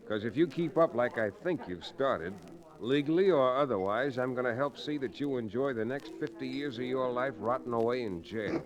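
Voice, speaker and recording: An actor playing a folksy, firm frontier lawyer hero in an old-time radio western. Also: male voice, mid-range voice, moderate pace, a crowd in the background, close to an everyday voice. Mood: cool, defiant. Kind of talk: old-time radio western acting, first-person narration and dialogue. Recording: vintage radio drama recording.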